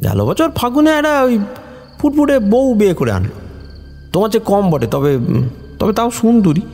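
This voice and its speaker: High-pitched, animated rustic young husband. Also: male voice, full character voice. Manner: hesitant, halting, folksy storytelling with fillers. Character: rustic young husband nervously recounting village ghost tales. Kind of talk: Bengali audio horror story acting. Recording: echoey reverb with music underneath.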